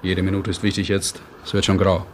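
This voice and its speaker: A man's voice: deep voice, completely flat delivery, a little fast, close to an everyday voice. A man playing a dry, impatient English agent in a German radio play, clipped, ironic and formally polite. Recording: noisy old radio play recording.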